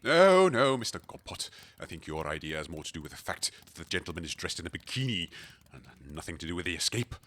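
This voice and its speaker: A grand, courtly gentleman of an audio comedy. His voice is low yet bright and forceful, with swooping intonation. He talks in flowery, formal phrases, as a pompous host would. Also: very animated, fast talker. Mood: grandiose. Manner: flowery, formal, theatrical speech. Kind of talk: comedic audio drama acting.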